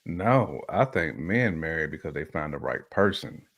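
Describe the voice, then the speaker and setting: A man's voice: extremely low pitch, very animated, normal speed, a bit bright, husky. A man giving his opinions in casual conversation as a podcast guest, emphatic and often repeating phrases for emphasis.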